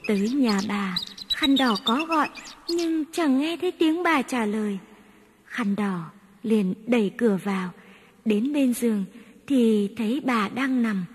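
A woman narrating a children's fairy tale in a slow, gentle, carefully paced reading. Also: fairly high pitch, animated, husky. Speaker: storyteller. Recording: reverberant with background music.

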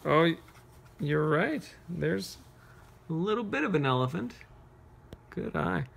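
A man reading a picture book aloud to children, pausing to ask them gentle, encouraging questions. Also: on the high side, very animated, gravelly, husky. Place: carpeted room at home, sitting on floor.

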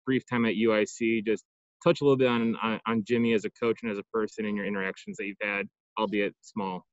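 Chicago-area American man reporter, fast-talking, low voice, dull lo-fi call audio, dry. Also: level tone, no echo. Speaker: press reporter. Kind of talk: press conference questions.

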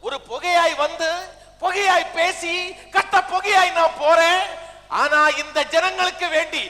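An actor playing the scolding ghost of a grand film-star leader in a stage comedy, theatrical and declamatory. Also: male voice, very high-pitched, steady tone, a little slow, pushed and bright, heavily acted. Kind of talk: stage comedy skit acting. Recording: echoey stage hall with music.

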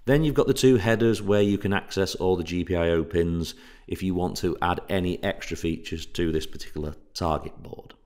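A man's voice: sits low, brisk, darkish tone. A man giving a technical workshop presentation as an instructor, explaining step by step in an informal way.

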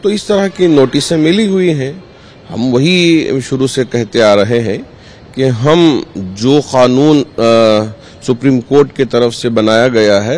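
A middle-aged man giving an interview statement in a halting way with drawn-out pauses, speaking into a handheld news microphone. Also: mid-range voice, a little mellow. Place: indoors in a mosque hall.

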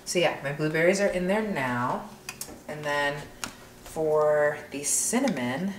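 A woman's voice: low-pitched, a little hiss or hum. A young woman giving a casual, informal cooking demonstration, talking through each step as she works. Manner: informal, narrating each step while working. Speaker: vlogger demonstrating a recipe. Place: home kitchen, indoors. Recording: echoey room with clear kitchen clatter.

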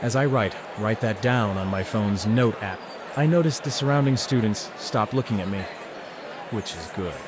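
A man's voice: lowish voice, normal speed, background noise. A man reading first-person scripted narration in a brooding, sardonic manner. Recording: music under the voice. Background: music.

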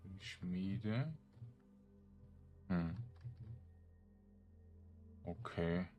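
Male gamer, very low register, unhurried delivery, hesitant off-the-cuff commentary on his own play. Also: expressive, warm tone, lo-fi sound, faint music behind. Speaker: gaming streamer narrating his own play. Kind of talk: casual let's play commentary.

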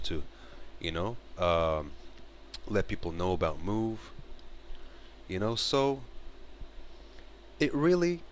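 A man's voice: low voice, a bit bright, a little hiss or hum. A man in his thirties from Morocco, talking earnestly to camera on a headset mic in a bedroom, often repeating and restarting phrases. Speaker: vlogger. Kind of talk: casual spoken commentary to camera. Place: bedroom, small indoor room.

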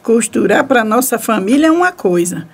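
A woman's voice: on the high side, lively intonation, moderate pace, slightly rough. A woman playing a poor, hesitant, doubtful seamstress with a Brazilian accent in a radio soap. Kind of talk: radio soap opera acting.

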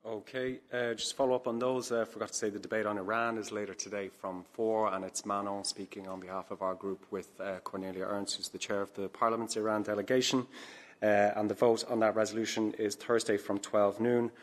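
A low, flat-voiced man runs a press briefing from a podium microphone. He announces the next items briskly.

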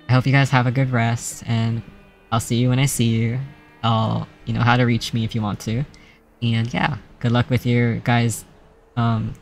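Male streamer, mid pitch, gravelly fry, flat and soft-spoken, faint game music underneath. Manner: soft-spoken, laughs often, self-described monotonous voice. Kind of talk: casual stream sign-off chat.

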